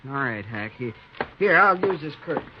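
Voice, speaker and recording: A man's voice: medium pitch, animated, moderate pace, close to an everyday voice. An actor playing a sullen, dim outlaw henchman in a 1950s radio western, with a whining, drawling rural American delivery. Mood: surly. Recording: noisy, dull 1950s radio recording.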